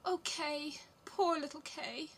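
A woman's voice: very high voice, normal speed, voice pushed up. A woman voicing a timid, tender little girl in a storybook, anxious and pleading. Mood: sorrowful. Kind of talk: storybook character voice.

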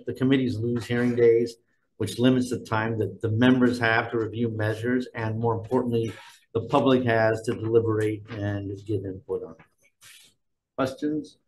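The voice of a man chairing a government committee, talking at an ordinary pitch over a faint hiss. He walks members through each measure in a loose, conversational way, pausing on fillers. He speaks into a desk microphone in a hearing room. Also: poor audio. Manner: conversational, thinks aloud with fillers. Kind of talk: formal hearing proceedings.

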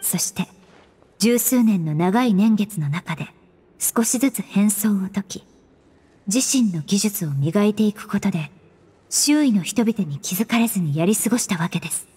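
Mellow, breathy, composed young investigator. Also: female voice, medium pitch, expressive. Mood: grave, explaining. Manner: formal, measured, analytical. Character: composed, polite young female perfumer-investigator. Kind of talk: anime game dialogue.

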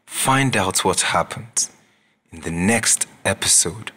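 Deep slow guy narrating a radio drama, scripted and dramatic. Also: expressive, pushed and bright, breathy edge.

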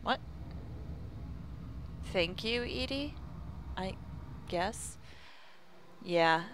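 A young woman giving casual, jokey let's-play commentary close to her mic, with a low morning voice. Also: creaky, fairly bright tone, slight background noise. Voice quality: lower morning voice. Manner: chatty, jokey, swears, laughs a lot. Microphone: close desk mic.

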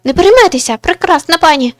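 A woman's voice: very high voice, animated, fast, faint music behind, voice pushed up. A woman voicing a gallant, courteous male cat knight from a visual novel, speaking in a formal, chivalrous way. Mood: gallant, urgent. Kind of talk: visual novel character reading.

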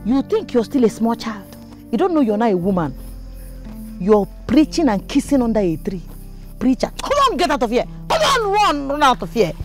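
Feisty young Nigerian woman, high animated voice acted. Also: normal speed, long pauses, soft background music, natural voice. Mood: scandalized, scolding. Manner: outspoken, assertive, mixes in Pidgin. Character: feisty, proud young woman mechanic, outspoken and bossy. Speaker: actor in a film drama.

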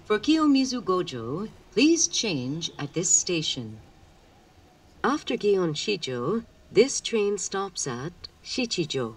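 A woman announces stops in a very slow, formal, carefully enunciated way. Her voice is fairly low, with a lively rise and fall. It comes through a train's speakers, picked up from a little distance. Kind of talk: scripted transit announcement. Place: train car, over public-address speakers. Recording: recorded off an in-car speaker, slightly distant.

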